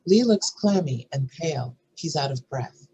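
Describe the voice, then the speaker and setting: Faint noise behind, narrator reading out screenplay directions, medium pitched voice. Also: animated, measured pace, warm tone, poor audio. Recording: faint background noise and room ambience.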